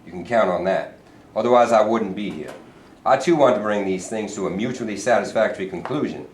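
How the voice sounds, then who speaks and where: A man's voice: lowish voice, expressive, brisk, lightly acted. A man reading a sly, genial governor. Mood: evasive. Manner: evasive, patronizing, mock-genial. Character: sly smooth-talking male state governor. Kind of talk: staged play reading. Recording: echoey room, mic at a distance, faint hiss.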